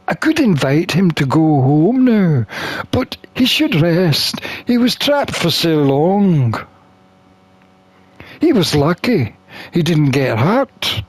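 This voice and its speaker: A man narrates a fantasy visual novel in a storytelling style. His delivery is slow and very melodic, moving freely up and down, with a dry, close sound. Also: fairly high pitch, sing-song, husky, dry recording. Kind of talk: expressive scripted narration and character reading.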